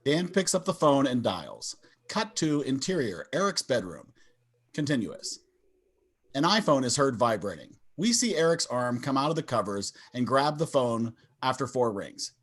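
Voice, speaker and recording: A man's voice: mid-range voice, big pitch swings, normal speed. A man narrating stage directions in a scripted table read over a video call.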